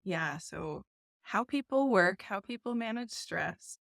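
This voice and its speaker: A woman from New York talks as an interview guest in a gentle, diplomatic way, laughing easily between thoughts. Her voice sits at a middling pitch and is recorded dry and close, with no room echo. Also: lively intonation, slow, gravelly. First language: English. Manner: soft, diplomatic, laughs often. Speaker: festival founder, guest. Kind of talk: casual interview chat.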